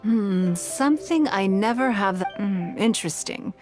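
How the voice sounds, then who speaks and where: A woman's voice: medium pitch, expressive, unhurried, faint music behind, close to an everyday voice. A woman playing a courteous, driven, wealthy young businesswoman in a video game, speaking with composed, refined phrasing. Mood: thoughtful.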